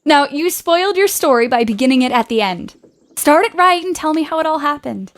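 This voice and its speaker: A woman acting in an audio play as an outspoken young woman writer, earnest and expressive. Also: high-pitched, a little fast. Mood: teasing.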